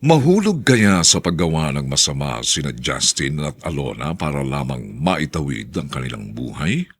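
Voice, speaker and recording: A man with a gravelly, husky voice reading dramatic scripted narration and credits as an announcer. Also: very deep voice, sing-song, normal speed.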